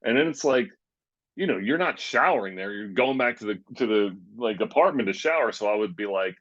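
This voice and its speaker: A man's voice: lowish voice, lively intonation, brisk, a bit bright, no room sound. A man, a former pro basketball player, telling rambling stories in a casual interview, full of fillers and quoting himself. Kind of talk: casual storytelling. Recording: dull, dry lo-fi sound.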